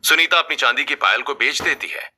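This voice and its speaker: A man's voice: mid-range voice, a little fast, slight background noise. A man reading a scripted family story as its narrator. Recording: music bed under the voice. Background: loud background music.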